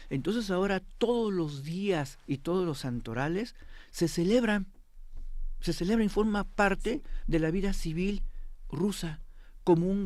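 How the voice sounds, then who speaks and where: A man's voice: high voice, a little mellow, no room sound. A man speaking as an expert guest in a studio interview, explaining with emphasis and often checking that the listener follows. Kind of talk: interview commentary on politics.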